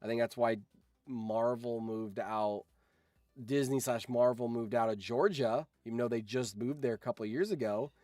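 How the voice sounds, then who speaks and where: A man talks off the cuff on a livestream in a mid-pitched voice, recorded dry and close. He rambles from one thought into the next in an easygoing chat style. Swearing slips freely into his speech. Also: moderate pace, a little mellow, no echo. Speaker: streamer and video editor chatting with viewers.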